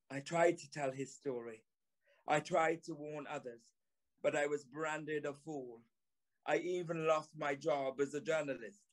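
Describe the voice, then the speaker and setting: Audio drama lead: haunted ex-journalist signalman, higher male voice, slow and weighty delivery, grave, tormented intensity. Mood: haunted, sombre. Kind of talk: dramatic first-person audio drama narration.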